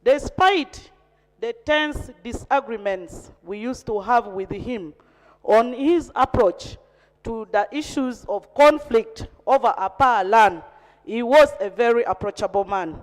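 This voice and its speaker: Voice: medium pitch, slow. A member of parliament with a Ugandan accent, telling a formal tribute in a narrative way. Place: large parliamentary chamber. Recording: echoey hall with long reverb.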